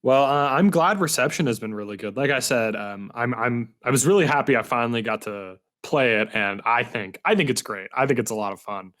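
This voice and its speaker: A man's voice: mid-range voice, brisk, few pauses, fairly bright tone. Casual male podcast host chatting. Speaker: podcast host interviewing a guest. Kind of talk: casual interview chat.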